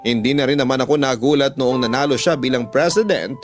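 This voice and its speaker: A man's voice: mid-range voice, brisk. A man with a Filipino accent reading a first-person dramatic story as a radio storyteller. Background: music under the voice.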